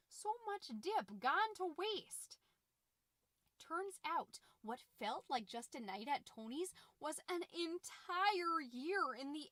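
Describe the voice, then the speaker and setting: A woman's voice: high-pitched, sharp tone, no echo, exaggerated voice. A woman playing a chatty, dramatic suburban mom who hosts a comedic book-club podcast, with folksy, theatrical delivery. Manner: theatrical, folksy, alliterative, drawn-out emphasis. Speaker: podcast host. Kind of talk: scripted comedic podcast monologue.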